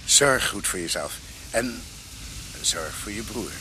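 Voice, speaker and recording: An actor playing a grave, devoted older doctor in a Dutch radio drama, with a husky voice. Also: male voice, lowish voice, lively intonation, slow, noisy background. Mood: tender farewell.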